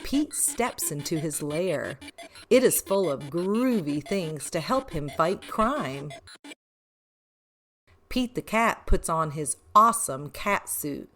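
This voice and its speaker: A woman reading a children's picture book aloud in an expressive, deliberate way with emphasis on short phrases. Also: sits low, soft background music. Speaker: read-aloud storyteller for children.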